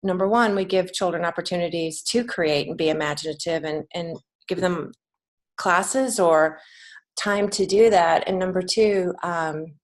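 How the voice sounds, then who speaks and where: A woman with a fairly low voice talks as a guest in a podcast interview. She is earnest and reflective, putting emphasis on her points in a conversational way. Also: unhurried. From United States. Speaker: podcast guest, children's author and creative writing teacher.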